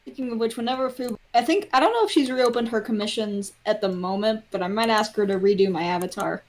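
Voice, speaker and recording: A woman's voice: on the high side, quick pace, darkish tone. A woman chatting casually as a guest on a livestream, blunt and teasing, with some swearing. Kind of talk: casual chat. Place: online voice call.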